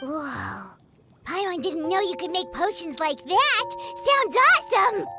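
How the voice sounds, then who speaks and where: Casting: childlike fairy sidekick for a game, extremely high, wildly animated, music underneath. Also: female voice, unhurried, vocal fry, full character voice. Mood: excited. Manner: speaks of self in third person, exclaiming. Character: tiny excitable childlike female fairy companion. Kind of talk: video game voice acting.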